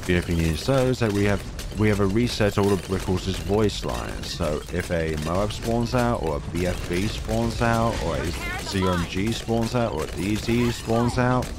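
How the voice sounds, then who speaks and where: A man of thirty with a deep voice and a cold, giving calm, rambling commentary over a game as its host. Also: normal speed, hardly any pauses. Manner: calm, rambling, chatty tangents. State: has a cold. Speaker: gaming channel host. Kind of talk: relaxed gameplay commentary. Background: game music.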